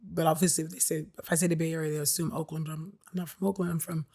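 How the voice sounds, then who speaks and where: A woman's voice: low voice, level tone, fast talker, mellow. An American woman co-hosting a podcast, chatting candidly and informally with loose asides. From California, United States. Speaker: podcast co-host. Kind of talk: casual, candid conversation.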